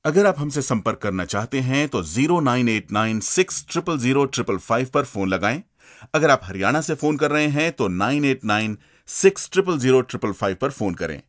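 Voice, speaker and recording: A man's voice: medium pitch, sing-song, brisk. A man with an Indian accent co-hosting a scripted radio show in a theatrical, enthusiastic manner. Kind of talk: scripted radio presenting and banter.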